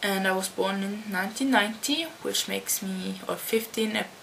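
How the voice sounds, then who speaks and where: A Dutch-born teenage girl chatting to her webcam at home, answering tag questions slowly with many restarts. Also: mid-range voice, fairly even intonation, creaky, a bit bright, low-quality recording. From Netherlands. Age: teens. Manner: slow and halting, with many restarts. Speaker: vlogger. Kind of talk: casual talk to camera answering a tag. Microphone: computer webcam. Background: faint hum of computer fan.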